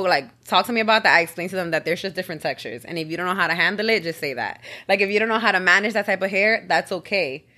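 A woman co-host in a casual podcast chat, earnest and explanatory. She talks quickly in a lowish but bright voice.

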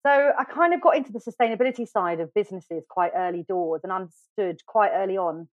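A middle-aged woman from the UK, a podcast guest telling her story in a chatty, animated way with lots of fillers and restarts. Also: mid-range voice, quick pace, no room sound. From United Kingdom. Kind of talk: casual storytelling interview. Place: podcast studio. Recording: dry, slightly dull studio sound.

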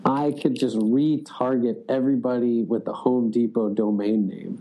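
Man, sales executive guest, mellow tone, loose casual talk. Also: medium pitch, fairly even intonation, normal speed, a little hiss or hum, low-quality recording. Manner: loose, filler-heavy, frequent "like".

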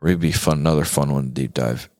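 A man's voice: rumbling low, fairly even intonation, quick pace, a little mellow, no echo, studio quality. A man hosting a casual guitar talk show, chatting in an informal, emphatic, slangy way. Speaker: show host.

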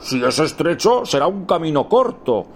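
A man plays a naive, placid king from a comic radio drama, earnestly puzzling things out. His voice sits somewhat high and moves with lively intonation, over faint background noise. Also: moderate pace. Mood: naive musing. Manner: innocent, earnest musing. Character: placid, naive King Gaspar, simple-minded musing Wise Man. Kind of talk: comic radio play acting.

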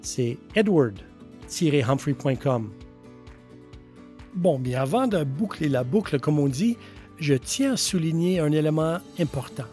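A man's voice: fairly high pitch, lively intonation, a little slow, warm tone, soft background music. A middle-aged man from western Canada whose first language is English, hosting a solo podcast as a public speaking coach. He talks earnestly and emphatically, repeating key phrases for weight.

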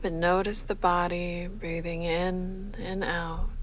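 Woman doing a sleep meditation, lowish voice, super slow and soothing, bit of noise behind her. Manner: measured, soothing, unhurried instruction. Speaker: yoga nidra guide. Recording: faint steady background noise.

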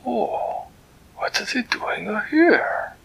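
A fussy, excitable furry creature king with a raised, gravelly voice, put on by a man telling a story. He hesitates and drawls his "oohs" and "hmms". A breathy, near-whispered storybook character. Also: heavily acted. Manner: high, hesitant, drawn-out exclamations.

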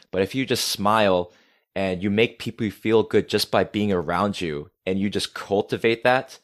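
An energetic man, a podcast guest talking casually and swearing freely. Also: fairly low pitch. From United States. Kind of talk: casual conversation.

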